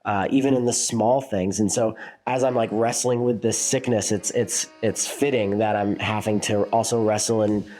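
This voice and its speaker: A man's voice: fairly low pitch, steady tone, normal speed, few pauses, warm tone, faint music behind. A congested, sick man talks through a casual reflective vlog as a worship leader, rambling with many fillers and restarts.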